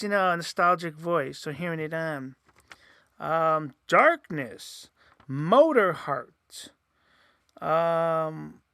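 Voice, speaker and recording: A man talks loosely and casually, with frequent fillers and restarts. His voice is bright and gravelly, with a slightly nasal edge. It sits fairly high for a man and is recorded dry and close. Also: dry recording. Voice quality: says he sometimes sounds nasal. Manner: rambling, many fillers and restarts. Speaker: host. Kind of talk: casual solo review podcast.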